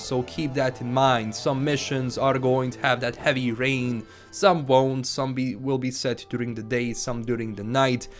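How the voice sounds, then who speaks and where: A man narrating gaming news in an informal, explanatory way, speaking directly to viewers. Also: on the high side. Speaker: video game news YouTuber. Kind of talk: informal scripted video narration. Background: background music.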